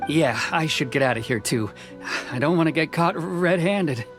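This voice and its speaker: An actor playing a polite, modest tradesman in a video game, with a measured, courteous delivery. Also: fairly high pitch, animated. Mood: matter-of-fact. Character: modest, polite adult male tradesman and boat builder. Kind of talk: English video game voice acting. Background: background music.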